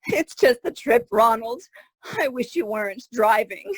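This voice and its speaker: A woman's voice: fairly high pitch, lively intonation, takes it slowly, slightly rough, no room sound, full character voice. A woman playing a fretful, loving mother of a grown son in an old-time radio drama, tender and pleading. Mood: fond worry.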